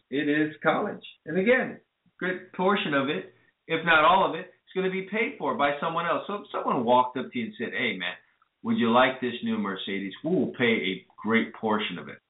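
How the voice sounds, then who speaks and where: A man hosting a call-in radio show, earnestly advising listeners and then bringing on a guest. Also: mid-range voice. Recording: echoey, phone-like lo-fi audio.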